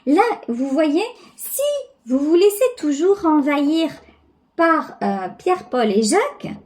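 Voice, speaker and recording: A woman giving an intuitive tarot reading, speaking directly to the viewer in a halting, reflective, conversational way. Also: high-pitched, animated, a little mellow. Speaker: tarot reader, channel host.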